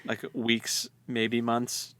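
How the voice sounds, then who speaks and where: A mid-pitched voice in a dry recording, co-hosting a book podcast. The delivery is casual and wry, with repeated phrases and jokey, tongue-in-cheek impressions of what people would say. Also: completely flat delivery, very slow, a bit bright, clean tone, no echo. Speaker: co-host of a book read-along podcast. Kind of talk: casual chat, wry commentary on a novel.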